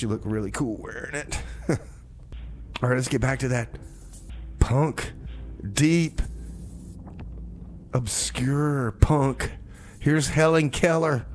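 Casual man DJ on a small community radio show, rambling, stammering, laughing, mid-pitched voice, light hiss behind. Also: a little mellow.

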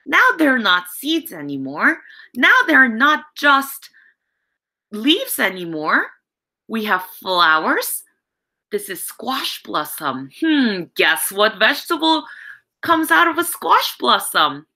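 A middle-aged woman gives a read-aloud lesson for young children over a video call. Her voice is fairly high and very lively in pitch, and she takes her time. She explains things expressively and speaks straight to the children. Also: slow. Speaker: teacher reading a picture book aloud. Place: home room with bookshelves.

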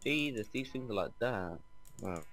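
A man's voice: on the low side, animated, a little slow, a bit bright. A man chatting casually and swearing freely while playing a block-building game with a friend. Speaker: Minecraft let's play host. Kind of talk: casual gaming chat with a friend.

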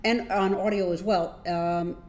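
A woman's voice: on the low side, fairly even intonation, measured pace, a little mellow. A woman, co-hosting a casual podcast chat in an agreeable way, often repeating short affirmations. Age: older, 60s. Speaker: co-host of a mill's video podcast. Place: indoors in a large mill workshop with machinery. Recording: roomy, echoey workshop sound.

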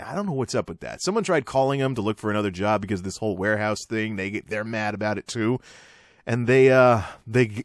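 Man ranting with very animated pitch swings. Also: dry recording, studio quality. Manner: exasperated, emphatic, sardonic. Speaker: commentator, host. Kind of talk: informal opinionated monologue, radio-style rant.

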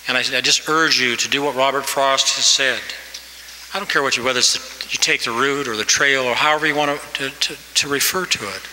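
A man's voice: medium pitch, fairly even intonation, fairly quick, pushed and bright, slight background noise. A man in his sixties giving a commencement address at a podium microphone, earnest and exhorting, pushing his voice up for emphasis. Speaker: commencement speaker. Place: large hall. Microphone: podium mic. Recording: reverberant hall, faint ambience.

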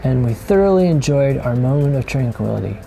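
A middle-aged man giving calm, scripted voice-over narration for a sailing travel vlog, explaining things with dry humor. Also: mid-range voice, fairly quick, creaky, soft background music.

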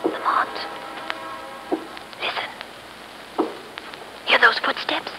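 An actress playing a refined, anxious young woman helping a sleuth in a 1930s radio mystery. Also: drawn-out words. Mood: nervous. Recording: noisy old 1930s radio recording.

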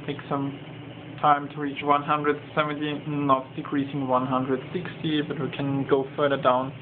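A man with a somewhat high voice narrates an informal demonstration. He speaks hesitantly, often pausing in the middle of a sentence. The sound is narrow and tinny like a phone, with some faint noise behind him. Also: completely flat delivery. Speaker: demonstrator of a home-built flight simulator. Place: indoor home cockpit simulator room.

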